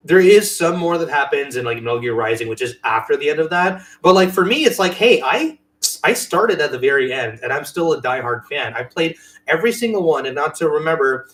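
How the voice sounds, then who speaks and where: Earnest man with a higher-pitched voice. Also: animated, a little fast, a bit bright. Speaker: podcast co-host. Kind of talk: casual chat.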